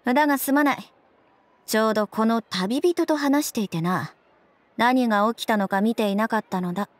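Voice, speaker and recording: An actress playing a stern, principled young police officer in a video game, with formal, clipped, businesslike speech. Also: female voice, a little high, moderate pace, no echo, close to an everyday voice. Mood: stern, official. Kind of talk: Japanese game voice acting.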